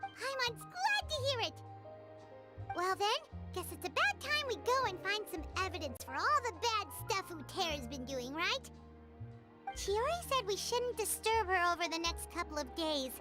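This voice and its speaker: A woman voicing a tiny, eager, childlike fairy companion in an anime-style video game, with a squeaky voice. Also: sing-song, normal speed. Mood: cheerful, eager. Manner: speaks of herself in the third person. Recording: loud music behind the voice. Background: music.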